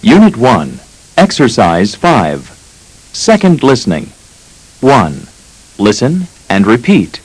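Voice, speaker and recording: A man reading instructions as narrator of a language course, slow and deliberate. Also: mid-range voice, wildly swooping pitch, breathy edge, no echo, low-quality recording.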